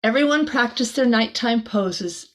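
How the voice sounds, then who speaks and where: A woman with a fairly high voice reads a picture book aloud for a young child. Her delivery is expressive, and she slips in small chatty asides as she goes. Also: a little slow, rough recording. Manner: expressive, addressing a young listener. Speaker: storybook reader.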